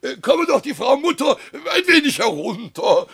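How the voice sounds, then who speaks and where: A man's voice: very high-pitched, sing-song, moderate pace, vocal fry, fairly bright tone, exaggerated voice. An actor playing a flustered small-town mayor and father in a radio comedy, stammering, blustering and repeating himself. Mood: eagerly flustered. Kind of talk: theatrical radio comedy acting.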